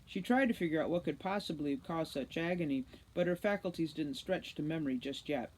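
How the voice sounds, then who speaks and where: A woman reads a storybook aloud with expressive delivery. Her voice sits on the low side, and she tells the tale like someone reading to listeners. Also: fairly quick, slight background noise, rough recording. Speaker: audiobook reader, teacher.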